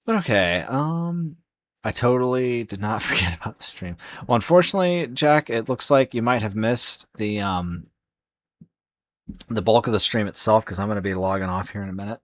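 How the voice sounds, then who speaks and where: Casting: young adult male streamer, hoarse and sleepy, very low voice, dry close sound, rambling delivery. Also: expressive, moderate pace, dry recording. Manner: rambling, many fillers and restarts. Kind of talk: casual live-stream chat.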